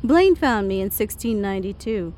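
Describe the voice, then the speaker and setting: A woman's voice: medium pitch, animated, moderate pace, a little mellow, a little hiss or hum, lightly acted. A woman playing a thoughtful, encouraging literary lady from the past in an audio drama.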